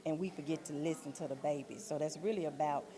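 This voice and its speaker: Low-voiced earnest woman, crowd behind her. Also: steady tone. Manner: earnest, faith-minded, deliberate. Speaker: playwright, director and producer being interviewed. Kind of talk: earnest interview answers.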